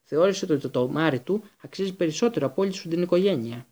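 A man's voice: fairly high pitch, animated, dark tone. A man voicing a fierce, bitter adult wizard in a storybook, growling his accusations. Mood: furious. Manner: accusing, emphatic.

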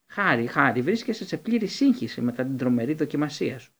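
A man's voice: mid-range voice, sing-song, slightly rough. A man voicing a pompous, patronising male government minister who brushes off the children. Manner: condescending, placating.

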